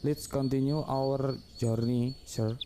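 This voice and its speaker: A man's voice: mid-range voice, steady tone, slow, crowd noise, natural voice. A young man playing a polite, apologetic student in an amateur radio drama. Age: young adult.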